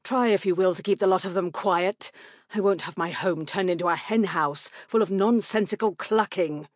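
A woman voicing a cold, dismissive gentleman father with a flat, crisp delivery in an audiobook. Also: fairly low pitch, normal speed, no room sound, studio quality, natural voice. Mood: disgusted, final.

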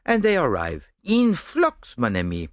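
A man's voice: medium pitch, huge pitch swings, measured pace, dry recording, clean studio sound, pitched above the performer's own voice. A man voicing a vain, fussy middle-aged Belgian detective with a Belgian French accent and a smug, theatrical manner. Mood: vain lecturing.

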